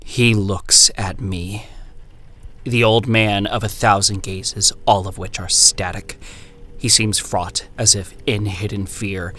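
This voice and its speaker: Man reading as slow, ominous horror storyteller. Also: on the low side, fairly even intonation, bright, forceful tone, a little hiss or hum. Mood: grim, bitter. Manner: measured, poetic, ominous pauses. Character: grim, bitter narrator reading an eerie first-person horror tale. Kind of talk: dramatic literary reading. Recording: music behind the voice.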